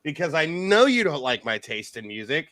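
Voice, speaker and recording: A man's voice: a little high, big pitch swings, moderate pace, pushed and bright, dry recording, studio quality. A man co-hosting a casual music talk show, rambling and joking with lots of fillers. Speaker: podcast co-host. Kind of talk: casual chat.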